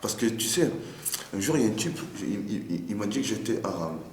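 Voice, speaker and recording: A man's voice: on the low side, lively intonation, fairly quick, darkish tone. A man talking casually in a street interview, repeating words and firing off rhetorical questions. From France. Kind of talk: casual, animated street talk. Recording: echoey, faint background noise.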